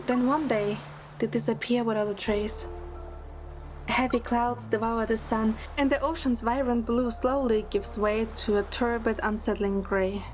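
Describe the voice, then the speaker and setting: A woman's voice: fairly high pitch, fairly even intonation, a little slow, lightly acted. A woman playing a devoted young heroine in love, narrating an audio drama in the first person with earnest, dramatic delivery. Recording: loud music bed under the voice. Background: loud music.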